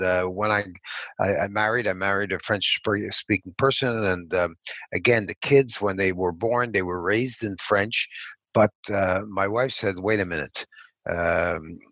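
Low-voiced older man, halting. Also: a bit bright, no echo. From Canada (Montreal). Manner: halting, many fillers and restarts. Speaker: podcast guest, former political figure. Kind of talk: reflective interview storytelling.

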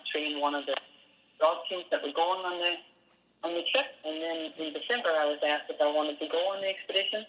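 A man's voice: fairly high pitch, bright, forceful tone, poor audio. A man from Denmark, a dog musher, answering interview questions in a hesitant way with many fillers and restarts. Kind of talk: casual interview.

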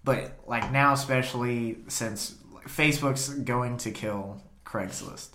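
A man's voice: mid-range voice, slow pace. Brief: easygoing male co-host, loose filler-heavy talk, echoey room. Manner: relaxed, filler-heavy, laughs easily. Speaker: podcast co-host. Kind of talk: casual podcast chat.

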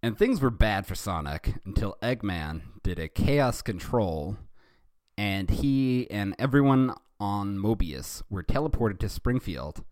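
A man's voice: fairly low pitch, lively intonation, unhurried, darkish tone, no echo, studio quality. A man voicing a chirpy young fan-fiction author greeting readers, in a chatty manner.

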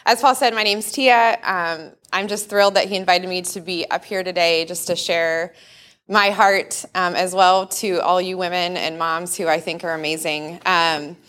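A woman speaking to a church congregation on Mother's Day, a little nervous, laughing now and then, holding a handheld mic away from her mouth because of her loud voice. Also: fairly low pitch, steady tone, normal speed. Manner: warm, nervous, laughs often, says she has a loud voice. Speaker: pastor's wife giving a Mother's Day message. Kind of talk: church talk with a read-aloud story. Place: church sanctuary in front of a congregation. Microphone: handheld mic held away from the mouth.

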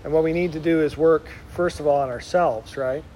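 A middle-aged man from Wisconsin, a Benedictine monk, gives an informal conference to a lay community. His speech is unpolished and friendly, scattered with fillers, and his voice sits slightly high for a man. A faint background noise runs under the recording. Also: brisk, dark tone, poor audio. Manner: conversational, with frequent ums and uhs. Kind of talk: informal spiritual talk.

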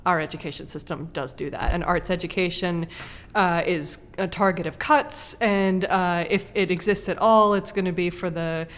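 A woman with a somewhat low-pitched voice speaks in a conversational discussion. She explains ideas thoughtfully, building each point from short, parallel clauses. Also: fairly even intonation, normal speed. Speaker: podcast guest host, creative writing teacher.

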